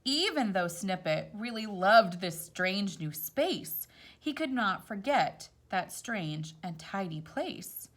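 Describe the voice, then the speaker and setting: A woman reading a picture book aloud to young children, expressive, with casual explanatory asides. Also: medium pitch, takes it slowly. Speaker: storytime reader.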